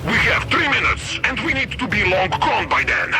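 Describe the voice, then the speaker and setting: An urgent, keen commando talks in a lively, swooping voice. It is game mission dialogue with loud music behind it. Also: male voice, sits high, moderate pace, exaggerated voice.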